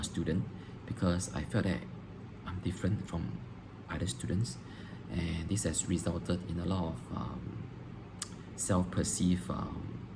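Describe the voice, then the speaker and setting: A man, a teacher answering interview questions on video, pausing often with ums and restarting phrases. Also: sits low, gravelly. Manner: hesitant, frequent ums and restarts. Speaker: teacher applying for a job. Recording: noisy background hiss.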